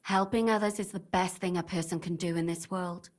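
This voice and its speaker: A woman's voice: on the low side, steady tone, brisk, lightly acted. An actress playing a blunt, cynical, tough medieval tavern woman in a video game. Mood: sincere, practical. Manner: blunt, forthright. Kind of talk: video game voice acting, dramatic dialogue.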